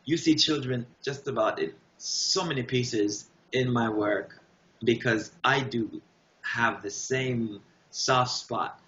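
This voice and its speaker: A man speaking as an interview guest, giving impassioned, emphatic answers in short, deliberate, declarative phrases. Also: mid-range voice, a bit bright, slight background noise. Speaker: guest artist. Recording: dull, roomy echoing sound.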